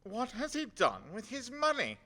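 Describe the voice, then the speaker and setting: A man playing a jolly, callous merchant gossip in a radio play, jovial and animated. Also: extremely high pitch, normal speed, full character voice. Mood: gleeful, gossipy. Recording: reverberant hall.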